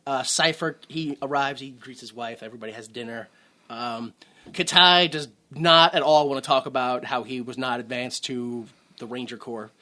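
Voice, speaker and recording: A man co-hosting a movie podcast, talking loosely and fast to his friend. His tone is bright and forward, and he stumbles through restarts, drops swear words and does quick impressions of characters he quotes. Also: mid-range voice, fairly even intonation. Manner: rambling, swears freely, laughs, acts out quotes. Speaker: podcast co-host. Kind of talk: casual movie review chat.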